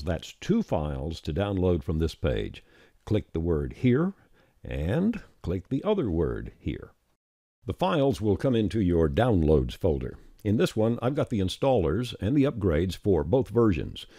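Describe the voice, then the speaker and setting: A man narrating a scripted software tutorial, explaining things plainly step by step. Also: sits low, breathy edge, no room sound, clean studio sound. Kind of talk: scripted instructional screencast narration.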